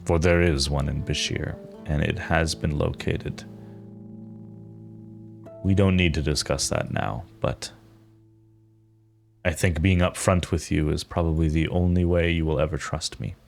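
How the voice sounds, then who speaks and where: A low, gravelly, unhurried matriarch played by a man for a tabletop roleplay. The character is solemn and commanding, devoted yet ruthless, and leaves long pauses between phrases. Music plays softly underneath. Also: fairly even intonation, heavily acted. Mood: measured, earnest. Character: grave, determined, authoritative mother, devoted and ruthless.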